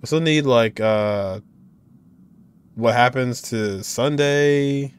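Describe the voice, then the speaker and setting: A man's voice: mid-range voice, slow, smooth. Young man muttering game reactions. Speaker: reaction streamer. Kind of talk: casual reaction commentary. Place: indoor room at a desk.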